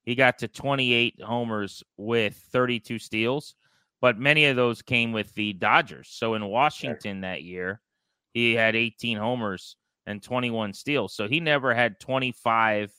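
Bright, crisp American male sports host. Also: mid-range voice, measured pace, dry recording. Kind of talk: conversational sports talk.

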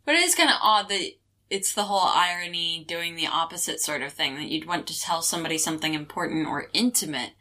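A woman's voice: lowish voice, quick pace, sharp tone. A woman in casual conversation about a film, analytical, retelling scenes and quoting the characters.

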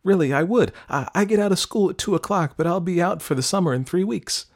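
Man as teenage girl, raised pitch, flustered, shy, stammering, storybook romance. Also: fairly even intonation, a little slow. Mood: shy, eager. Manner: stammering, hesitant, breathy hushed asides.

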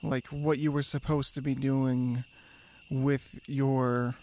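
A man's voice: medium pitch, little pitch movement, slow pace, slight background noise. A man co-hosting a conversational podcast, talking in a supportive, reflective way with frequent fillers and restarts. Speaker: podcast co-host. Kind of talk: casual conversation.

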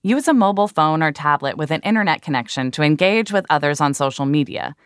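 Woman podcast co-host, lowish voice, teasing and dry, dead-dry studio sound. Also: animated, fast, clean tone, dry recording. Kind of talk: casual chat and reading steps aloud.

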